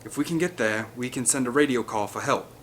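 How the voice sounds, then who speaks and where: A man voicing a grave, knowing expedition leader in an audiobook, with a measured, ominous delivery. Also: medium pitch, fairly bright tone, slight background noise, natural voice.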